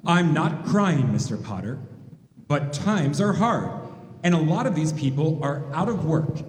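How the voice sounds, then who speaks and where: An earnest middle-aged father played by a man pleads in a live radio play. His voice is lively and varied, with heavy echo from the hall. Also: a little high, measured pace. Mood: pleading. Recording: echoey reverberant hall, faint noise.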